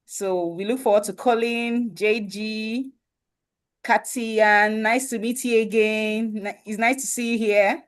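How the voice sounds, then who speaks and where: A woman's voice: fairly high pitch, steady tone, slow. A woman hosting an online webinar over a video call, welcoming attendees and often asking "you understand?". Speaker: webinar host.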